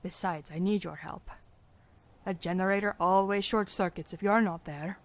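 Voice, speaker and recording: A woman's voice: medium pitch, moderate pace, lightly acted. Firm, motherly medic played by a woman. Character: caring, firm female medic leader mixing in Spanish. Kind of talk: audio drama acting.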